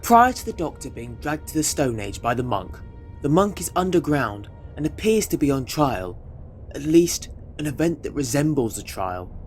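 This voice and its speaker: A man's voice: fairly high pitch, big pitch swings, moderate pace. A man acting as scene-setting narrator of a sci-fi audio drama, reading scripted narration. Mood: expository. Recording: some music under voice.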